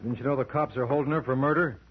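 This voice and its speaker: An actor playing a wisecracking, cocky criminal lawyer in an old-time radio detective drama, with dry deadpan delivery. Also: male voice, medium pitch, quick pace, close to an everyday voice. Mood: brisk, probing. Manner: deadpan, sardonic quipping. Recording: noisy, muffled old radio recording.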